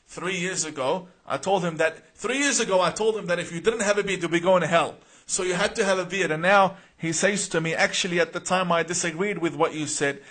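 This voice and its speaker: A fairly high-voiced man from Iraq hosts a live stream, reading viewer names and questions before answering. He talks earnestly and emphatically, stumbling over repeated words and uh's as he works through his answers. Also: a bit bright.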